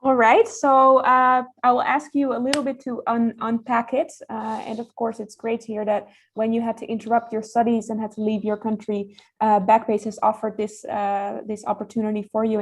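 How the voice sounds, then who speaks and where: A woman hosting an online career event and interviewing a guest, speaking in a measured way with many hesitations and fillers. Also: on the high side, level tone.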